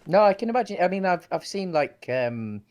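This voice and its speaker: A man's voice: high-pitched, big pitch swings, fairly quick, a little mellow. A man co-hosting a casual film discussion, thinking aloud in hesitant, circling phrases. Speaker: co-host of a film discussion show.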